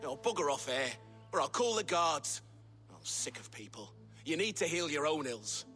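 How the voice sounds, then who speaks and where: An actor playing a grumpy, snappish medieval commoner in a video game, irritable and quick to insult. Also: male voice, fairly high pitch, sing-song, pushed and bright, faint music behind. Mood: irritated. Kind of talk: video game drama acting.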